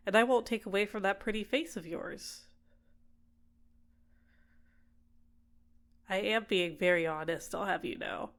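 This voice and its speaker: Possessive female villain for ASMR roleplay, played by a woman: lowish voice, soft, coaxing, manipulative, tenderly doting. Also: natural voice. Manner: soft-spoken, teasing, drops into whispers. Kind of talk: ASMR roleplay, intimate personal attention.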